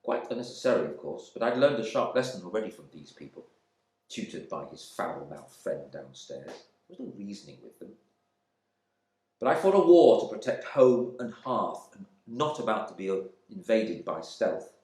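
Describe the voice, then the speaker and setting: In an echoey room, a male actor plays a stuffy, indignant husband home from the war delivers a dramatic monologue. His is full of pompous, narrow-minded affront, and his pitch swings widely between muttering and outburst. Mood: righteous indignation. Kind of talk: dramatic monologue reading from a novel.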